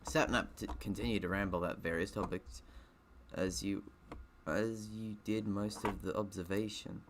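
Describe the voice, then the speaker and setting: A man with a very low, gravelly voice reads a visual novel aloud for a gaming video. He rambles and slips into casual asides as he narrates. There is noticeable noise in the background. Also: steady tone, normal speed. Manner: rambling, self-interrupting.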